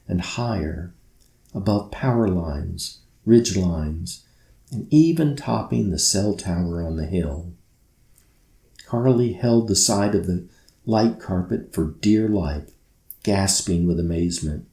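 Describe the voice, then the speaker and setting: A man narrates a children's storybook in a low register. He takes his time with each phrase, and the room around him adds a noticeable echo. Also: gravelly, warm tone. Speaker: author reading own book aloud. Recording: roomy echo.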